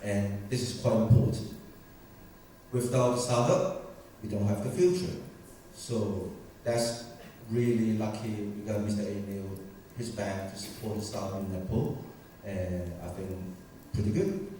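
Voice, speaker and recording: A male panelist speaks in plain, short sentences with loose phrasing. His voice is mellow and dark. It is heard from a distance in a strongly echoing hall with faint noise underneath. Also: on the low side, measured pace, pauses often. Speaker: panelist, startup founder. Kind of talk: panel discussion remarks. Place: large conference hall. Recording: echoey conference hall from far off.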